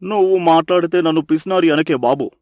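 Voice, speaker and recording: A man's voice: a little high, a little fast, a little mellow, natural voice. A man playing a grumbling, easily irritated husband in a cartoon story, blunt and complaining. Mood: teasing complaint.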